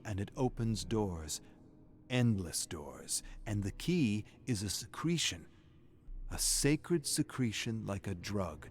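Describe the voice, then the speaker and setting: A man performing a brooding, ominous narrator of horror lore, reading gravely and deliberately in a dramatic style. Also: lowish voice.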